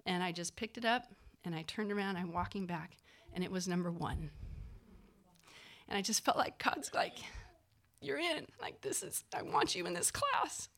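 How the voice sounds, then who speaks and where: A woman, a physician assistant, telling a personal story in a casual, animated way, acting out the people she quotes, with lots of fillers. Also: fairly low pitch, moderate pace.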